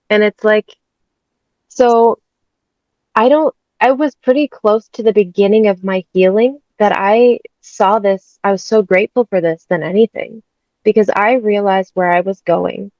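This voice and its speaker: A woman's voice: mid-range voice, a little slow, darkish tone, no room sound. A woman in her thirties from the States, a guest telling her personal story candidly and chattily, laughing often. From United States. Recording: dull, dry sound.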